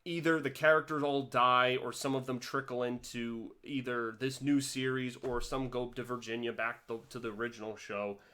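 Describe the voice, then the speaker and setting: A man giving a casual commentary vlog, rambling with many restarts and swinging his pitch and loudness animatedly. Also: little pitch movement, moderate pace, hardly any pauses. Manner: rambling, with frequent restarts and stumbles. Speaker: vlogger reviewing a TV series.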